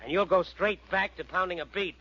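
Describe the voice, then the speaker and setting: Insolent, higher-pitched radio crook, man. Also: brisk, sharp tone, faint music behind. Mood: threatening. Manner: insolent, clipped, tough. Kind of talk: 1940s radio drama acting. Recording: muffled, hissy vintage radio recording.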